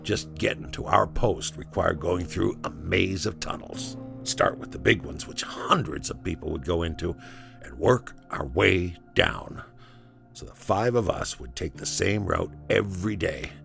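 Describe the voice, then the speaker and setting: An actor playing a weathered, foul-mouthed older former labourer, reminiscing wryly in a gravelly voice in an audio drama monologue. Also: male voice, low-pitched, expressive, a bit bright, soft background music, heavily acted. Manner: conversational, hesitant pauses, dry chuckles, swearing.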